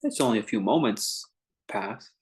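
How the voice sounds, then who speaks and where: A man guiding a meditation in an informal, conversational way, speaking in short phrases with unhurried pauses between them. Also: fairly low pitch, expressive. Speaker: meditation teacher leading a guided meditation. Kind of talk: unscripted guided meditation talk.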